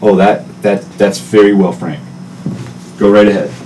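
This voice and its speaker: A man hosting a podcast in a studio, with a booming voice that gets loud when excited, talking in halting bursts full of fillers. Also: slight background noise, poor audio. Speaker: podcast host. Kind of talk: casual interview chat. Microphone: close to a studio mic.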